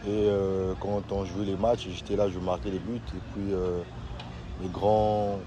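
A man in his twenties with a Congolese accent, a footballer answering casually and haltingly in an outdoor interview. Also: deep voice, flat delivery. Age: 20s. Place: outdoors by a hotel pool. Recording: clear outdoor traffic noise.

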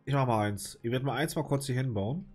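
A man with a slight cold talks through his game in a loose, rambling way, stopping often to hunt for the right spot and swearing under his breath. His voice sits at an ordinary middle pitch. Soft game music plays quietly behind him. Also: brisk. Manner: rambling, hesitant, lots of filler, mild swearing. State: has a cold, clears throat. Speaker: let's play gamer. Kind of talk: casual gameplay commentary.

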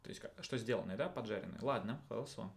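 A man's voice: lowish voice, fast, gravelly. A man in his thirties, a teacher, chatting casually and thinking aloud, with a colloquial, questioning manner. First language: Russian. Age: 30s. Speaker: social studies teacher. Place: small indoor studio.

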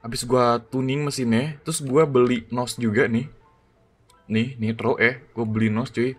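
A man giving excited, slangy gameplay commentary as a gaming YouTuber. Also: medium pitch, moderate pace, slightly rough. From Indonesia. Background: background music.

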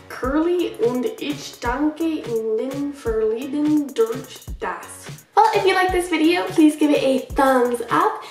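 A woman's voice: sits high, a little mellow. A young woman vlogging from her bedroom, giggling as she stumbles through phrases in other languages. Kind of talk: casual vlog reading phrases aloud. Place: bedroom, indoors. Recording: echoey room, a bit distant, faint music.

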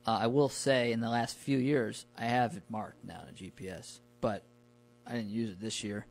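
A man talking casually and colloquially, telling stories in a relaxed conversational podcast style. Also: mid-range voice, moderate pace, vocal fry, soft background music. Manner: informal, colloquial. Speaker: podcast guest recounting his camping trips.

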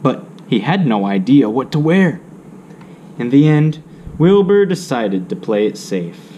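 A man reading a children's story aloud with measured, theatrical expression. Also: mid-range voice, sing-song, dark tone, a little hiss or hum.

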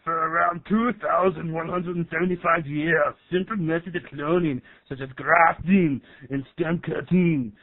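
A theatrical cartoon doctor acted by a man with a slightly high voice, lecturing with mock authority and easily irritated. The sound is thin, dry and band-limited, like a small radio. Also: fairly even intonation, moderate pace, hardly any pauses, dry recording, narrow, tinny audio. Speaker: doctor character giving science explanations. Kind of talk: amateur comedy skit acting with scripted explanation.

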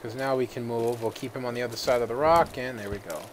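A man who is feeling a little sick, giving relaxed let's-play commentary and thinking aloud with plenty of fillers. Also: mid-range voice, quick pace, slight background noise. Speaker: gaming video host.

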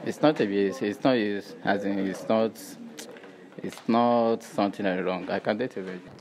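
A man's voice: fairly low pitch, normal speed, darkish tone. A young man with a Nigerian accent answering interview questions as a security officer. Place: by a stairwell. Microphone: handheld device held by interviewer. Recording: crowd chatter behind the voice.

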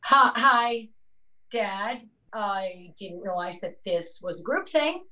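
Guarded mother, bright, sharp voice. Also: mid-range voice, animated, slow, a little hiss or hum. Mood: curt, guarded. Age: middle-aged. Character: curt, guarded mother and resentful daughter. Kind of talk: screenplay table read over video call. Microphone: computer mic on video call.

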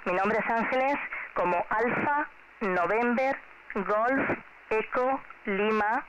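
A woman's voice: fairly high pitch, slow pace. A woman with an accent from Spain talking courteously and at length as an amateur radio operator, heard over shortwave radio. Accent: Spanish from Spain. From Galicia, Spain. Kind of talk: ham radio contact, friendly chat with callsigns. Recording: noisy, dull shortwave radio reception.

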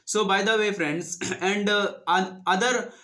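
Man, 20s, Indian accent, native Hindi speaker, high-pitched, earnest coaching tone, lapel mic indoors. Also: fairly even intonation, a little slow, bright, forceful tone. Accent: Indian English. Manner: earnest, coaching, addresses viewers as friends. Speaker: English-learning channel host. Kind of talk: direct-to-camera advice talk. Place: small indoor room with green screen.

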